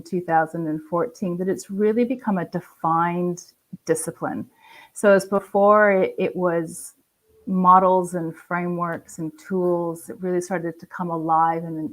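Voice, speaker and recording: A woman who works in change management, answering interview questions in measured, step-by-step explanations over a video call. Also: on the low side, rough recording. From not from Australia. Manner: measured, walks through history step by step.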